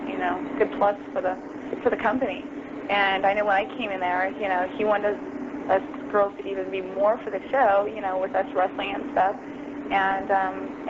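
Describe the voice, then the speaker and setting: A young woman talks through her story as a phone interview guest, loose and chatty with plenty of fillers. Her voice sits at an ordinary pitch for a woman. The line is muffled and carries steady background noise. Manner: chatty, many ums and you-knows. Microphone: phone call.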